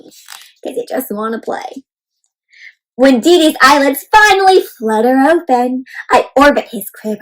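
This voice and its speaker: A woman hosting an interactive read-aloud for children, speaking in an animated, child-directed way with questions and exclamations. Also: high voice, low-quality recording. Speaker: host reading a picture book aloud to children.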